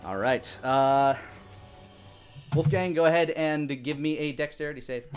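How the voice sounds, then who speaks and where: A man's voice: fairly high pitch, a little slow. A man in his thirties running a live tabletop game as game master, improvising narration of the action. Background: music.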